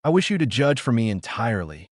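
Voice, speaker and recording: A man voicing a sick, anxious gentleman doctor in an audiobook, fervent, solemn and formal. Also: medium pitch, sing-song, quick pace, no echo, clean studio sound. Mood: dejected. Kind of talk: dramatic audiobook character reading.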